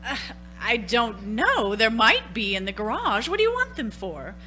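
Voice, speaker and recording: A woman plays a nervous, faltering suburban mother in an old-fashioned radio drama. Her voice sits fairly high and swings expressively, with slow delivery, and music plays clearly behind her. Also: huge pitch swings, bright, forceful tone, heavily acted. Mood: uneasy, motherly. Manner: hesitant, faltering, theatrical. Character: anxious 1940s-style suburban housewife and mother. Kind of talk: stage radio-play acting.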